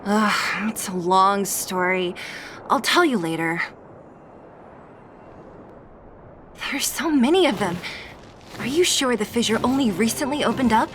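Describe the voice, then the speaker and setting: A woman's voice: mid-range voice, animated, moderate pace, a bit bright, slight background noise, natural voice. A woman playing a composed, sceptical young female warrior in an anime-style video game, matter-of-fact, commanding and mildly exasperated. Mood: suspicious, weary. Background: music.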